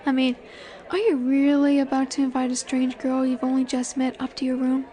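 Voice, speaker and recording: A woman acting a high-pitched, teasing alpha she-wolf. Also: little pitch movement, mellow, lightly acted. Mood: intrigued, seductive. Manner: teasing, sultry, unhurried with drawn-out pauses. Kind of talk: roleplay audio acting addressed to the listener. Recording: bar chatter in the background.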